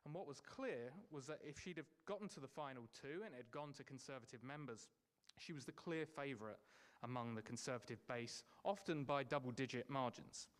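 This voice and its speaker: A man hosting an event, reading a formal, measured introduction of the guest speaker. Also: medium pitch.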